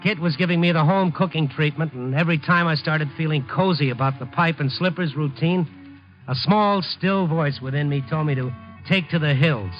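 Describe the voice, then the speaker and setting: A man's voice: fairly high pitch, moderate pace, natural voice. An American man acting in a 1950s radio drama as a lovelorn, world-weary, slangy city reporter. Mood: wry, confiding. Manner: slangy, hard-boiled, wry. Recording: muffled 1950s radio recording, music underneath.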